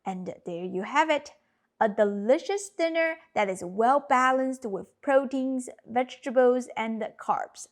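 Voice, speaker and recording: Higher-voiced woman teaching a recipe. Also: measured pace. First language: Cantonese. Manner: clear step-by-step instructional delivery. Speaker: cooking channel host. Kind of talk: scripted cooking narration. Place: home kitchen.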